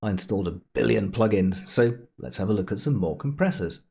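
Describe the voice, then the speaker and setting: A man presenting a casual software tutorial in a playful, chatty way with jokey asides. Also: on the low side, expressive, a little fast, vocal fry, husky.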